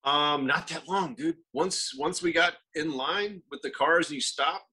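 A laid-back man co-hosting a casual chat show. His voice is bright and forward with a husky edge. He talks loosely and swears now and then. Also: fairly high pitch, unhurried. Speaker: podcast co-host.

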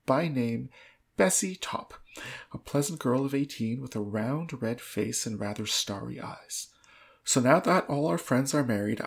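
Gravelly, husky woman reading a story. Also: a bass voice, darkish tone. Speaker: podcast co-host. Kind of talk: dramatic book reading and casual chat.

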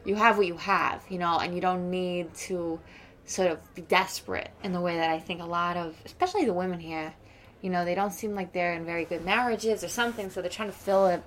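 A woman's voice: lowish voice, steady tone, a little fast, slight background noise, lightly acted. A woman playing a snobbish, judgmental wealthy school mother in an improvised radio play, rambling on with boastful opinions. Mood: condescending.

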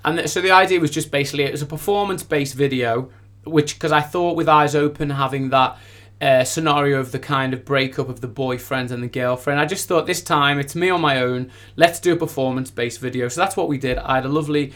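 Gushing, higher-pitched young-sounding male musician guest. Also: a little fast, a bit bright. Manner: gushing, enthusiastic. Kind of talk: casual anecdotal interview chat.

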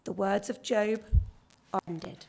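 A woman reading a long Bible passage aloud in church, her voice husky from singing. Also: mid-range voice, fairly even intonation, slow pace, darkish tone, a little hiss or hum. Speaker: scripture reader.

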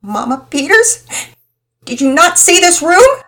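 A woman voicing a distraught young girl who talks high and fast, pleading and whiny. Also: very animated, sharp tone. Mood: upset. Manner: high-pitched, rushed, pleading.

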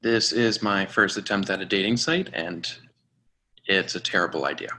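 A man's voice: low voice, pushed and bright. An actor playing an awkward, lovesick man in his twenties in a play reading, speaking hesitantly and running himself down. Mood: awkward, wistful. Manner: hesitant, halting. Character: lovesick awkward 24-year-old man, self-deprecating. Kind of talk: play reading, confessional monologue.

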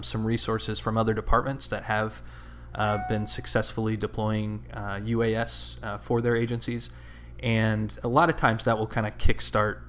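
Male co-host, fairly deep, hesitant conversational delivery, slight background noise. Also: fairly bright tone. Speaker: podcast co-host. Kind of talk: conversational podcast discussion.

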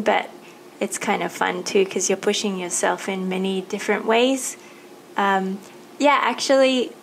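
A woman's voice: mid-range voice, vocal fry, slight background noise, poor audio. A woman hosting a podcast interview, chatting casually with many fillers and long rambling turns. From Thailand. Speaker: podcast host.

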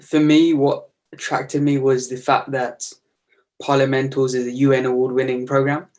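A man's voice: medium pitch, level tone, normal speed, a little mellow. Wry young male student. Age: young adult. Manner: wry, playfully grandiose. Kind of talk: casual discussion.

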